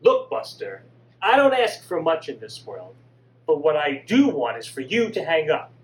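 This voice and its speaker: A man voicing a grieving middle-aged mother, emphatic and sharp when scolding. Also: high-pitched, big pitch swings, a little slow, echoey room. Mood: angry. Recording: distant mic with faint hum.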